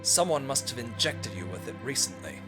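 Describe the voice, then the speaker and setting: A man voicing a dry, blunt female scientist with sarcastic deadpan delivery in a parody game dub. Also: medium pitch, brisk, over music, close to an everyday voice. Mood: deadpan, grave.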